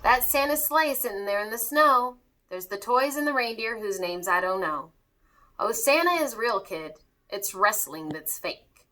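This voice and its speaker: A blustering old fellow playing stand-in Santa, as acted out by a woman reader, high and bright. The delivery swoops up and down, full of wisecracks and grumbling storybook bluster. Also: animated, unhurried, poor audio. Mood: insistent. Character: grumbling bluffing old man reluctantly playing substitute Santa.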